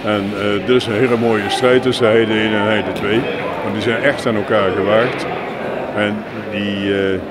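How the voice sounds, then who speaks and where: A man explaining his sport in an informal interview, with halting, filler-strewn delivery. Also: on the low side, steady tone, over a noisy crowd. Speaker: jeu de boules club representative being interviewed. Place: busy sports hall, on location. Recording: noisy venue with clear background ambience.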